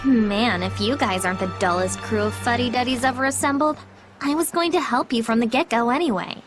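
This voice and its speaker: A woman's voice: fairly high pitch, a little fast, music in the background, natural voice. An actress playing a blunt, cynical, teasing girl spirit in a video game, with a dry, sardonic manner. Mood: mock exasperation. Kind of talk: English video game dub acting.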